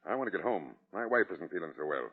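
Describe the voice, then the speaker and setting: Low, folksy executioner, tinny radio. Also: male voice, lively intonation, quick pace, breathy edge. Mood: businesslike. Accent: American. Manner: casual, folksy. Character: folksy, matter-of-fact prison executioner, male. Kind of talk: 1940s radio drama acting. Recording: thin, narrow-band old radio recording.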